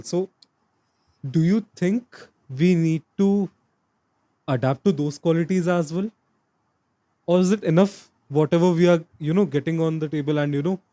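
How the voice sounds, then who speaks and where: A man's voice: a little high, normal speed, warm tone, dry recording. A man hosting an interview, speaking in a hesitant way with many fillers. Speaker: podcast host. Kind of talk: interview questions.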